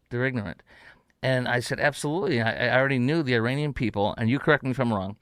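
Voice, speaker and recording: Male talk show host, casual rambling chat, excitable, smooth resonant voice, dry close sound. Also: medium pitch, dry recording. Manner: rambling, excitable, frequent fillers and restarts. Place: home studio.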